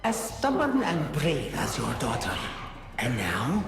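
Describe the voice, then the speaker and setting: An actress playing an imperious, coldly menacing inhuman empress in a video game, speaking in a regal, deliberate, commanding manner. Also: female voice, extremely low pitch, huge pitch swings, vocal fry, exaggerated voice. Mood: cold, hungry. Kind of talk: scripted game voice lines. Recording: cavernous reverb, music underneath. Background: music.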